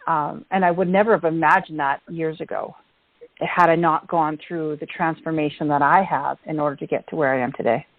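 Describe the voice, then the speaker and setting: Effusive woman host, lowish voice, phone-like sound. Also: fairly even intonation, fairly quick, dry recording. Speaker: podcast host, interviewer. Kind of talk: conversational interview. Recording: dry but phone-like narrow sound.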